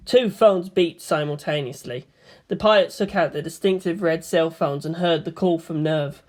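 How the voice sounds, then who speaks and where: A woman's voice: sits low, normal speed, a little mellow. A woman reading a story aloud as scripted narration.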